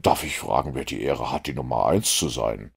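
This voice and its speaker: A man voicing a cool, shrewd gentleman detective in an audiobook, with a measured, dry, authoritative manner. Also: a bass voice, vocal fry, breathy edge, voice pushed down, full character voice. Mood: curt.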